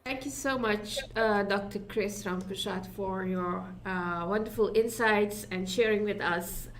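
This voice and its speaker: A woman's voice: mid-range voice, a little slow, a little hiss or hum. A woman with a Dutch accent hosting an online tech summit, giving effusive introductions with plenty of fillers. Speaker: summit host. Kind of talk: online event moderating.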